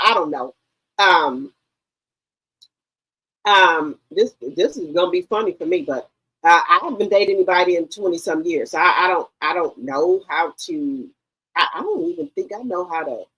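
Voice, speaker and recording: A husky-voiced woman in her fifties hosts a gossip livestream, talking straight to her viewers in a chatty, familiar way. Her pitch swings widely as she talks. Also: fairly bright tone, poor audio. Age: 50s. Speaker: livestream host.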